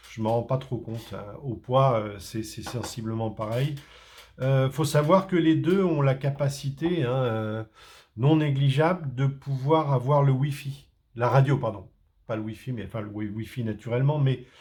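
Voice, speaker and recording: A man's voice: medium pitch, dark tone. A man from France doing a casual unboxing, talking hesitantly with many fillers and restarts. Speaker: tech reviewer.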